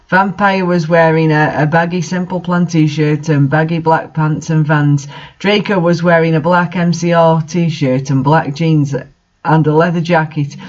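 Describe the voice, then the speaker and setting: A man reading bad fan fiction aloud and commenting on it in a mocking, incredulous way. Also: a little high. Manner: incredulous, exasperated asides. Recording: dull lo-fi recording.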